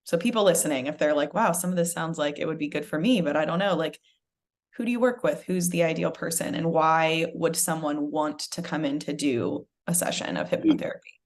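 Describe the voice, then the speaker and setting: A woman hosting an interview, asking her guest questions in a halting, conversational way. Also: low-pitched, animated. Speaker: interview host.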